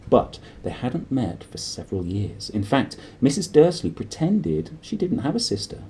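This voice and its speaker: A man hosting a read-aloud of a children's novel, speaking expressively with dramatic emphasis, as if straight to the listener. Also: on the low side. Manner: animated, dramatic emphasis, addresses the listener directly. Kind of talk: expressive storybook reading with chatty intro.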